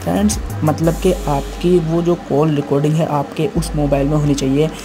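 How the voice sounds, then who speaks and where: Indian man, flat-toned tutorial voice-over with music. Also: on the high side, a little fast. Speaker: tech tutorial host. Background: background music.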